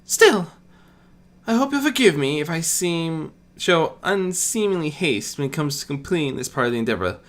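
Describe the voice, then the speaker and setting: A performer doing a gracious sun princess, swooping pitch. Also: big pitch swings, normal speed, close to an everyday voice. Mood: anxious, apologetic. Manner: polite, formal, articulate. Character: gracious princess of the sun, magical mare, eager warrior.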